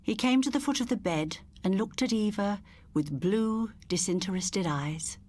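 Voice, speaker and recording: A woman's voice: mid-range voice, expressive, moderate pace, darkish tone. A woman reading a scripted ghost story as an audiobook narrator, in a dramatic, suspenseful storytelling manner.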